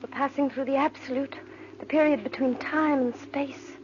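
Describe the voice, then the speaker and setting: An actress playing an ancient, possessive undead spirit woman in a 1940s radio drama, speaking slowly and commandingly with ominous emphasis. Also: high voice, fairly even intonation, slight background noise, close to an everyday voice. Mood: ominous, exalted. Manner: slow, ominous, commanding delivery with drawn-out emphasis. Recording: muffled old radio recording, long hall reverb.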